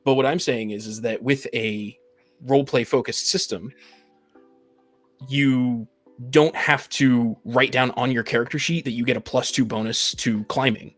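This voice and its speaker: A man in a casual discussion, explaining his view of tabletop roleplaying haltingly and often rephrasing. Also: medium pitch, steady tone, fairly bright tone, dry recording. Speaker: game master and discussion panelist. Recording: faint music in the background. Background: faint music.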